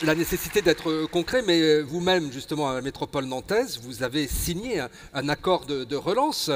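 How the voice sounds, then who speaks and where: A man's voice: fairly high pitch, expressive, moderate pace, slight background noise. A man moderating a live panel, putting questions in hesitant, restated phrases. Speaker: round table moderator. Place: large indoor convention hall.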